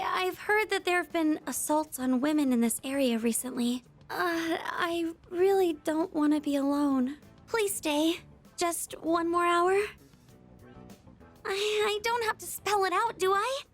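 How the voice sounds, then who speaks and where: Very high-voiced shy schoolgirl. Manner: hesitant, pleading, soft-hearted. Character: shy, clingy, love-struck teenage schoolgirl. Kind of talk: video game voice acting.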